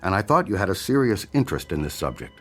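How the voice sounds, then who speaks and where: An actor playing a self-important, defensive hypnotherapist, measured and formal, in a radio drama. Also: male voice, sits low, big pitch swings, faint music behind. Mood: indignant.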